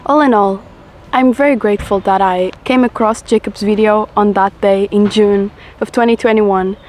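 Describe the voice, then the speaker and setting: A young woman in her twenties, from Portugal, with a low voice, chatting reflectively and a bit ramblingly to camera while smoking. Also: steady tone, normal speed, darkish tone, slight background noise. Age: 20s. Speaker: vlogger. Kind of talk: casual chat to camera.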